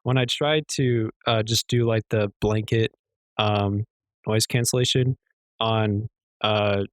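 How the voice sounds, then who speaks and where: A young man co-hosting a casual podcast, with a quiet, slightly hoarse voice, rambling and joking with plenty of swearing. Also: fairly low pitch, steady tone, takes it slowly, dry recording, studio quality. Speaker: podcast co-host. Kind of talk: casual chat.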